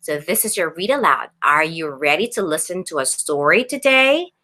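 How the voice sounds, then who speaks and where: A woman pre-K teacher doing an expressive read-aloud for young children, instructive and addressing them with questions. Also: deep voice, moderate pace.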